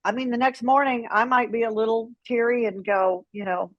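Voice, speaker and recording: A middle-aged American woman telling candid personal stories as an interview guest, frank and unfiltered, with dramatic pauses for emphasis. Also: a little high, steady tone, no room sound, lo-fi sound. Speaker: guest, writer and former radio personality.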